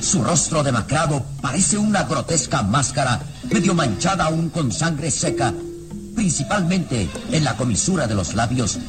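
A man's voice: a little high, sing-song, normal speed. A man narrating a radio serial in a solemn, formal, dramatic literary style. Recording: radio drama with music behind.

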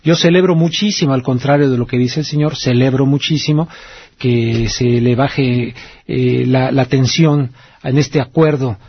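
A man's voice: medium pitch, normal speed, husky, dry recording, lo-fi sound. A man with a Mexican accent hosting a radio call-in show, talking in a casual, colloquial, rambling and reflective way. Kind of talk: casual conversational radio commentary.

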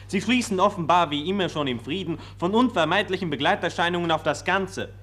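An actor playing an earnest, pompous patriotic optimist, declaiming formally in a 1940s radio play. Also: male voice, high voice, brisk, a bit bright, husky. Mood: lofty conviction.

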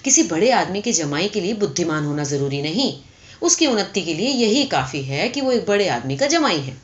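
Woman playing an imperious, animated young heiress. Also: lowish voice, dull audio. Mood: dismissive, cynical. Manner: firm, sharp, dictating terms. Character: imperious, calculating young rich heiress, coolly decisive.

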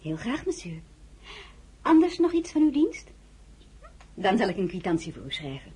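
An actress playing a shrewd, spirited merchant's daughter with a firm, polite shop manner in a radio drama. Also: female voice, mid-range voice, huge pitch swings, mellow, slightly rough, full character voice. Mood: polite, eager. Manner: brisk, firm, polite saleswoman.

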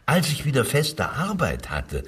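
An actor playing a nervous, evasive, husky-voiced working man under interrogation in a German radio crime drama, hesitant and anxiously deferential. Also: mid-range voice, huge pitch swings, measured pace, fairly bright tone, far from the mic, heavily acted. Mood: defensive. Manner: hesitant, stammering, short evasive answers.

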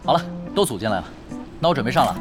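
A man's voice: mid-range voice, sing-song, racing, natural voice. A man playing a cocky, playful young expert gamer in a Chinese audio drama, teasing and nonchalant with a theatrical delivery. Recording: music behind the voice.

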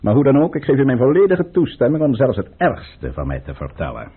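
Suave, husky scheming count. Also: male voice, mid-range voice, wildly swooping pitch, fairly quick, heavily acted. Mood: mocking, composed. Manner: courtly, ironic, polished. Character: suave, calculating count, scheming chancellor at court. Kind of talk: theatrical radio play acting. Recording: muffled, narrowband old radio play recording.

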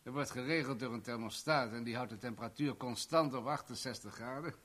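Forceful, sneering male tycoon, played by an actor. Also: mid-range voice, a little fast, natural voice. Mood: sneering, gloating. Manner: sneering, blustering, bossy. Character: domineering, mocking rich male tycoon with a short temper. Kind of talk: 1970s Dutch radio drama acting.

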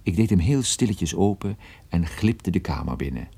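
A man's voice: low voice, animated, normal speed, gravelly, dark tone, slightly rough, no room sound, full character voice. An actor playing a worldly private detective, narrating his own case in a wry, understated way for a radio drama. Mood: wry, suspenseful. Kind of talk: scripted first-person radio drama narration.

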